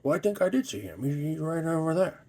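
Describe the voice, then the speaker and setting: A man's voice: fairly high pitch, very animated, warm tone. A man doing a needling cyborg ghoul. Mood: teasing. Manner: questioning, teasing, halting restarts. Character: cyborg undead male mascot, curious and needling. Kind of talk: improvised toy skit.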